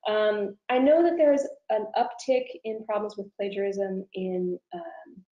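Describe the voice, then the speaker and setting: A woman's voice: medium pitch, expressive, measured pace, muffled recording. A woman professor speaking as an interview guest, giving thoughtful, informal answers in a conversational way and often rewording as she goes. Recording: roomy, echoing room sound.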